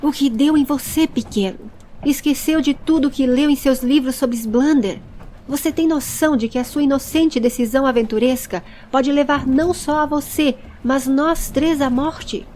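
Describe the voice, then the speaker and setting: A woman's voice: high voice, moderate pace, warm tone, a little hiss or hum, pitched above the performer's own voice, natural voice. A woman voicing a hot-tempered, protective male traveller in an audio story, scolding in an angry, emphatic way.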